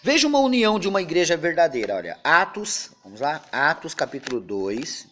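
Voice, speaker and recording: A man's voice: fairly high pitch, sing-song, fairly quick, sharp tone, a little hiss or hum. A man, a pastor, leading an informal Bible study with a prayer, talking in a chatty way and often ending phrases with tag questions.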